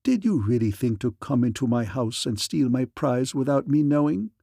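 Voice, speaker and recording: A man's voice: mid-range voice, animated, normal speed, gravelly, warm tone, no echo, clean studio sound. A man voicing a sly, menacing sorcerer priest in a fantasy story, formal and mock-courteous. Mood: smug. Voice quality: strange foreign accent.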